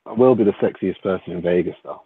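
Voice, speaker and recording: A man's voice: sits low, quick pace. A British man in casual group chat, blunt and teasing in a very colloquial way. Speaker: community chat participant. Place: online voice chat. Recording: tinny phone-like line, faint noise, clicks.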